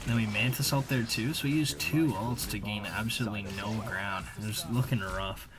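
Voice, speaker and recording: A man's voice: fairly low pitch, normal speed, over music. A man giving casual, slangy, conversational voice-over commentary on video gameplay, as a gaming video creator.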